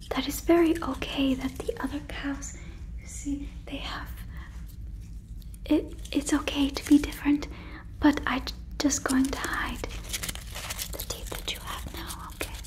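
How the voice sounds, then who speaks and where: Naive, friendly female alien for ASMR roleplay played by a woman: high-pitched, breathy close whisper, stilted and soothing. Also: darkish tone, low-quality recording. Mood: apologetic, blunt. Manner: slow, stilted formal phrasing, soothing, blunt. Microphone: fluffy microphone, very close.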